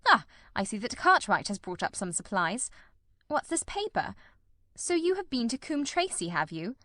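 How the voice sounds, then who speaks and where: A woman's voice: a little high, sing-song, moderate pace, bright, forceful tone, dry recording, studio quality. A woman voicing a keen, composed male detective in an audiobook, with a cold, incisive, precise and wryly ironical delivery. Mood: brisk, approving. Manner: ironical, precise, politely teasing. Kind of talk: audiobook character dialogue.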